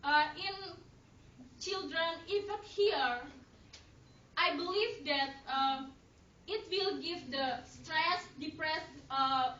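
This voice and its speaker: A woman with a very high voice speaks slowly and haltingly in a student debate, pausing often and restarting her phrases in non-native English. She sounds distant, with plenty of room echo around her voice. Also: sharp tone, slight background noise. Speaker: debater, third speaker of negative team. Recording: echoey hall from far off, dull lo-fi.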